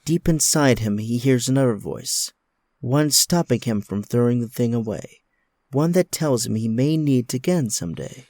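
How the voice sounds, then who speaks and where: A man's voice: mid-range voice, normal speed, a little mellow, no echo, clean studio sound. A man narrating an audio drama in a scripted, dramatic storytelling style.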